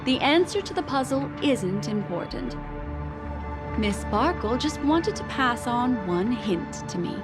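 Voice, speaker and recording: A woman playing a calm young detective-like memory keeper in a video game dub, speaking in a measured, formal and deliberate way. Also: on the high side. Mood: composed, analytical. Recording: loud music behind. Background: loud music.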